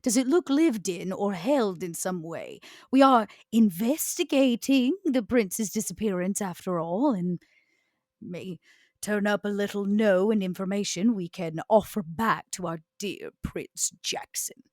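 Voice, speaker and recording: A woman playing a poised, calculating vampire leader in a tabletop game, speaking in a measured, genteel, politely commanding way. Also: mid-range voice, lively intonation, a little mellow, dry recording, studio quality, natural voice. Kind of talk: improvised tabletop role-play.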